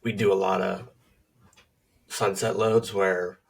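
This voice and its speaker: A man's voice: low-pitched, flat delivery, slow pace, vocal fry, husky. A man in his forties co-hosting a podcast, talking in an easygoing, laid-back way about his skydiving days. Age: 40s. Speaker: podcast co-host, skydiving instructor. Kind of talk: casual chat. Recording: voice from far off the mic.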